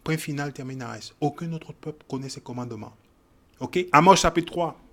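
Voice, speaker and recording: Male preacher, high voice, emphatic and repetitive, swings from quiet verse reading to loud exhortation. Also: moderate pace. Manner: emphatic, repeats phrases, checks in with "ok?" and "hein?". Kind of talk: Bible teaching sermon.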